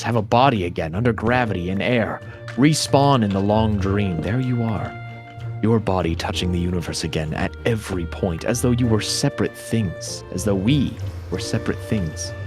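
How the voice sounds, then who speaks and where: A man giving a solemn, theatrical reading of a poem in a storytelling style, with deliberate pauses. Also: medium pitch. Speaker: dramatic reader. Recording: voice laid over background music. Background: music.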